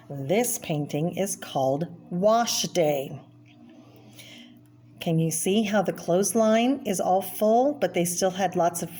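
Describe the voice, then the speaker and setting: A woman with a slightly low voice reads a picture book aloud for children. She explains as she goes, pointing out details and putting questions to the listener. Background noise sits behind her voice. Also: warm tone. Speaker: read-aloud storyteller.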